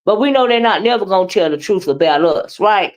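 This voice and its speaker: A woman's voice: mid-range voice, expressive, a little fast, darkish tone. An American woman hosting a livestream, chatting casually and emphatically and often checking in with listeners with short questioning tags. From United States. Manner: emphatic and conversational, often ends phrases with a questioning tag. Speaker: livestream host. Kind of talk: casual livestream commentary, responding to chat.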